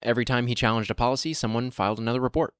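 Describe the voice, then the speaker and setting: A man voicing a vault security officer in an audio drama, calm and matter-of-fact as he weighs up the volunteers. The recording is close and completely dry, with no room sound. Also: medium pitch, racing, smooth, no echo, lightly acted.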